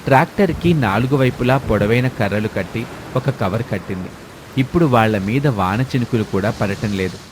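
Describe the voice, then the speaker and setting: A man reads a scripted story as its narrator. His pitch is middling for a male voice. Clear rain and engine noise plays behind the narration. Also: moderate pace.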